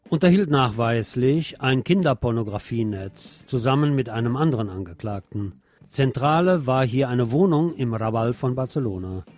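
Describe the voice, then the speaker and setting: A man's voice: mid-range voice, a little mellow. A man presenting a scripted radio magazine with news and commentary, phrasing things in a wry, dramatic way.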